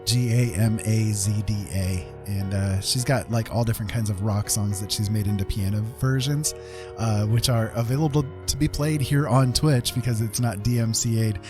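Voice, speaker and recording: A man's voice: on the low side, normal speed. A man chatting casually and laid-back on a livestream, thinking aloud as he paints. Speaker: streamer, digital painter. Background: piano music.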